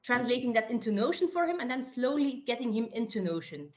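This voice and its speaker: A woman from Belgium talks freely as a podcast guest, rambling warmly through long explanations with frequent restarts. Her voice sits slightly high, and it comes through a dull, lo-fi call line. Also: big pitch swings, fast. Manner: chatty, many restarts and fillers, talks in long runs. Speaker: guest, project manager and consultant. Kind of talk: casual interview talk.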